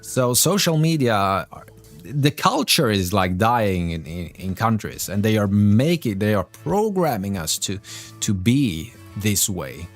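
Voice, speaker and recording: A man's voice: lowish voice, sing-song, slow, faint music behind. A man from Greece and Sweden hosting a live stream, talking casually and earnestly about current events in English. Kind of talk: casual live political commentary.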